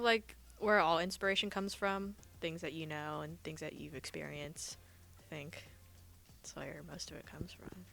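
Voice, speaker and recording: Woman w low bright voice, casual interview chat, lots of "like". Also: creaky. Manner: frequent "like" as a filler.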